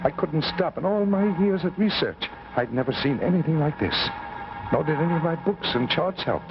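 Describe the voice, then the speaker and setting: An actor playing an absorbed bachelor professor, genial but evasive, in theatrical 1950s radio drama style. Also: male voice, a little high, expressive, normal speed, loud music behind the voice. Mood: obsessed, intense. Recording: muffled, noisy 1950s radio recording.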